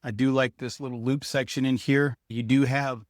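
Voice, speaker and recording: A man's voice: medium pitch, little pitch movement, normal speed, dry recording, clean studio sound. A man giving a casual hands-on product review, speaking to viewers and thinking aloud as he demonstrates.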